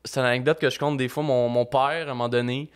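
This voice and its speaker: A man's voice: medium pitch, fairly even intonation, quick pace, clear, resonant tone. A young adult man with a Québécois French accent, hosting a podcast and telling stories in an easygoing, informal way. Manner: easygoing storyteller, informal slang. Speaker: podcast host. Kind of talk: casual podcast chat.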